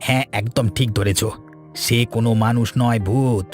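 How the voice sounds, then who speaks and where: A man's voice: mid-range voice, fairly even intonation, moderate pace, soft background music, lightly acted. A man playing an irritable, bossy young village son in a cartoon, scolding and exasperated. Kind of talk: Bengali cartoon voice acting.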